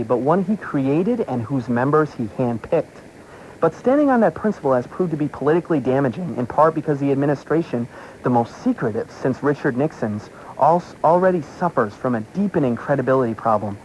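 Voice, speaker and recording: A man's voice: mid-range voice, highs cut off, slight background noise. An American man reading political commentary aloud as a radio talk show host, taped from a shortwave broadcast. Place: radio broadcast, heard through a shortwave receiver.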